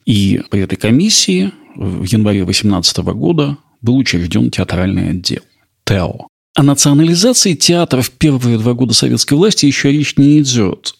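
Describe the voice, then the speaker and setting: A man giving a scripted history narration in a measured, explanatory way. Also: medium pitch, creaky, slightly rough.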